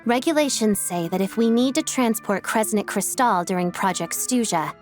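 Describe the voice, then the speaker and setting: A poised young female officer from a video game, played by a woman. She is principled and efficient, speaking politely with a composed, businesslike manner at a middle pitch, over soft music. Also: expressive, moderate pace, natural voice. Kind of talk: English game dub dialogue.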